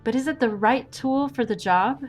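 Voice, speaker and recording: A woman's voice: fairly high pitch, close to an everyday voice. Woman playing a composed, precise adventure heroine, music behind. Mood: earnest, persuasive. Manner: measured, precise, dry. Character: composed, principled, pedantic heroine who reasons with villains. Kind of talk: audio drama acting.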